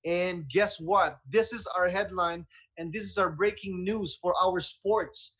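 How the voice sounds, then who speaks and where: A man's voice: high-pitched, steady tone, unhurried, fairly bright tone. A man with a Filipino accent hosting a radio talk show in a casual, enthusiastic, conversational way.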